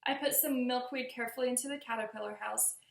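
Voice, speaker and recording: High, creaky woman reading a children's storybook. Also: fairly even intonation, fast. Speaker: teacher reading aloud. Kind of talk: expressive children's storybook read-aloud. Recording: roomy echo.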